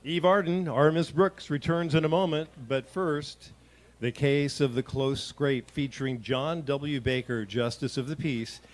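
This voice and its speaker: A man's voice: on the high side, steady tone, measured pace, slight background noise. A man announcing in old-time radio style, reading sponsor copy and credits with a formal, salesmanlike delivery.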